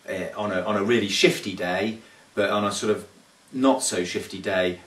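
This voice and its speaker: Man in his thirties, low voice, halting explainer, echoey small room, distant camera mic. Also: fairly bright tone. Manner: thoughtful, hesitant with frequent fillers and restarts. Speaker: sailing coach. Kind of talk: casual instructional talk to camera. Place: small carpeted room indoors. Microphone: camera mic from a few feet away. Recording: roomy, distant camera audio.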